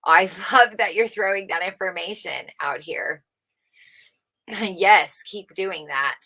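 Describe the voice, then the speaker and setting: A woman's voice: mid-range voice, slow pace, pushed and bright. A woman livestream host reading a book aloud unrehearsed, informally, stumbling over words and correcting herself as she adds casual commentary.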